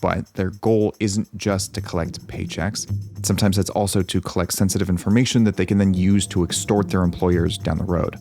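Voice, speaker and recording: A man tells his own investigation as documentary narration, calm and measured. His voice sits low with a mellow, dark tone. Soft music plays quietly behind him. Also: creaky. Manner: measured, reflective first-person storytelling. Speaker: host and narrator.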